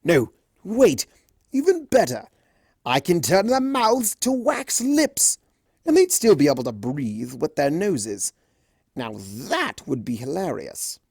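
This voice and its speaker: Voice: mid-range voice, big pitch swings, slow, vocal fry, a little mellow, breathy edge, no room sound, clean studio sound, voice pushed up, full character voice. A narrator playing a theatrical male trickster spirit. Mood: gleeful scheming.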